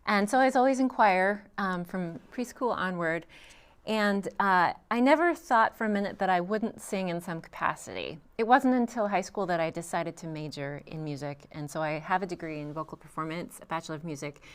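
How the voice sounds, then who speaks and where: A woman's voice: lowish voice, a little fast, clear, resonant tone. A young adult woman, a trained singer, answering interview questions thoughtfully with frequent ums and restarts. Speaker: guest vocalist.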